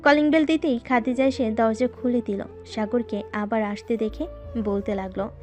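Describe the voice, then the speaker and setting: A woman with a fairly high voice narrates a scripted romantic story. She speaks as the storyteller, with faint music playing underneath. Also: steady tone, normal speed, a little mellow.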